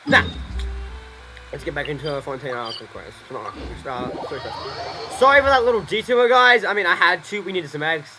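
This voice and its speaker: A man's voice: on the high side, sing-song, fairly quick, over music, poor audio. A young man giving casual gameplay commentary, rambling, joking and correcting himself as he goes. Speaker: gaming streamer.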